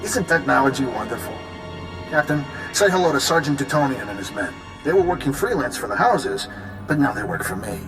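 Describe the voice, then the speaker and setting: An actor playing a smug, mocking space rogue villain in a video game, glib and sarcastic. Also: male voice, mid-range voice, very animated, a little fast, natural voice. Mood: gloating. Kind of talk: video game cutscene acting. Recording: echoey long reverb under music.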